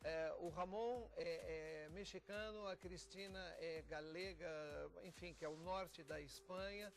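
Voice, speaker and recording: A Brazilian man from a theatre company giving an explanatory TV interview, often pausing and repeating words as he goes. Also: high voice, steady tone, faint music behind. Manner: explanatory, with frequent pauses and repeats. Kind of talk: TV interview talk.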